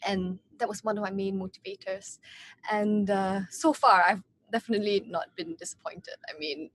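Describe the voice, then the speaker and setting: A woman's voice: medium pitch, steady tone, normal speed. A young woman from Singapore, an MBA student on a webinar panel, talking casually with many ums and likes. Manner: hesitant, lots of ums and likes.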